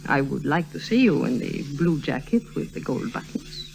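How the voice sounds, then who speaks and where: An actress playing an imperious, alluring European baroness in an old-time radio drama, speaking formally and coolly. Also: female voice, low-pitched, very animated, moderate pace, vocal fry, darkish tone, faint music behind, heavily acted. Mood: cool, teasing. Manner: formal, commanding, cool. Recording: noisy vintage radio recording.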